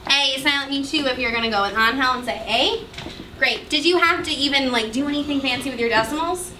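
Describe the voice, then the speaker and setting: Casting: young female teacher, noticeably high voice, directive and questioning, instructing children from across a noisy room. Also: fairly quick, pushed and bright, recorded from a distance. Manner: directive, questioning, projecting to a class. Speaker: math teacher. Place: indoor school classroom with students. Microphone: distant camera microphone. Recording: roomy, noisy classroom, picked up from afar.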